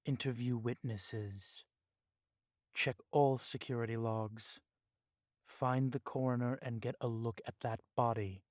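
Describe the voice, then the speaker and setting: An actor playing a stern, cautious vampire police chief in an audio drama, speaking gravely with some Spanish words mixed in. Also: male voice, medium pitch, fairly even intonation, slow pace, darkish tone, no echo, clean studio sound, lightly acted. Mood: stern, probing.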